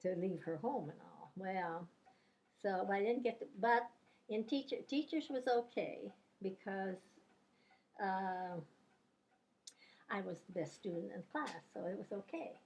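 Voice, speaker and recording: An older woman recounting stories from her teaching days in a relaxed interview. She rambles from one anecdote to the next and fills her pauses with "uh" and "you know." Her voice sits at an ordinary middle pitch for a woman. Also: gravelly, highs cut off. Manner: rambling anecdotal storyteller, many fillers and restarts. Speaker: grandmother telling life stories, retired teacher. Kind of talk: casual reminiscing interview.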